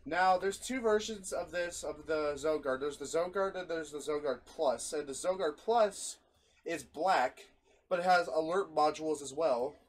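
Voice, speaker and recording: A man's voice: fairly high pitch, moderate pace. A young man casually reviewing his gadgets with friends, giggly and easily breaking into laughter. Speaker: video host, hobby radio collector.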